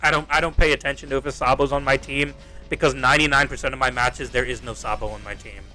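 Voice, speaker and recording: Young man, Australian accent, gaming streamer, ranting casual chat, swears, repeats himself, bright edgy voice, faint music behind. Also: mid-range voice, fairly even intonation, fast talker, low-quality recording. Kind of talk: casual livestream chat while playing.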